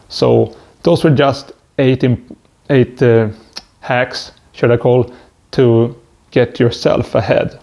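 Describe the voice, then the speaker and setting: Swedish-accented man, gravelly and mellow. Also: mid-range voice. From Sweden. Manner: hesitant, stumbling with frequent "uh" fillers. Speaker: vlogger. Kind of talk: self-help advice monologue.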